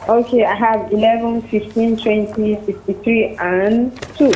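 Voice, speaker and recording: A woman from Nigeria calling in to a live TV quiz, giving brief, unhurried replies. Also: a little high, fairly even intonation, darkish tone, a little hiss or hum, low-quality recording. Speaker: phone-in caller.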